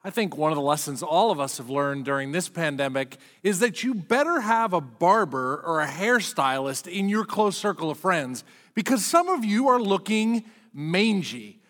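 Pastor, male, middle-aged, conversational and self-deprecating, high-pitched; long hall reverb. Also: a bit bright. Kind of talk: sermon.

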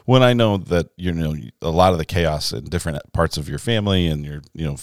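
A male host with a very low voice interviews his guests in a relaxed way. He asks reflective questions and often backs them up with brief affirmations. The sound is close and dry. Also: brisk, no room sound. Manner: affirming, many short backchannels. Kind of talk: casual conversational interview.

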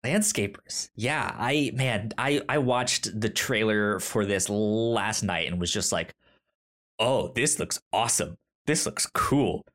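A man co-hosting a podcast in casual, enthusiastic chat, with a halting delivery and a stutter that repeats syllables and words. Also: lowish voice, a bit bright. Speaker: podcast co-host.

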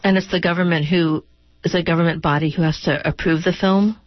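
A woman's voice: lowish voice, steady tone, brisk, no echo, lo-fi sound. A woman hosting a radio interview in a conversational, unscripted way.

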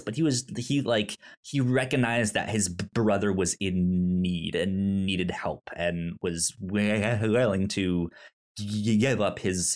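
A man co-hosting a podcast in casual review chat, speaking haltingly and often stammering over syllables and words. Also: fairly low pitch. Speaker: podcast co-host.

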